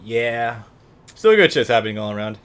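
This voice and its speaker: A man's voice: medium pitch, sing-song, moderate pace, a bit bright, clean tone. A man from the United States co-hosting a let's play, chatting casually and swearing freely. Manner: casual and profane. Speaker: let's play co-host and gamer. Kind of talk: casual gaming chat and commentary.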